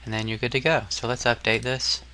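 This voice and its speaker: A man narrating a casual software tutorial as an instructor, explaining step by step in an informal, somewhat hesitant way. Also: medium pitch, flat delivery, fairly quick, a little hiss or hum.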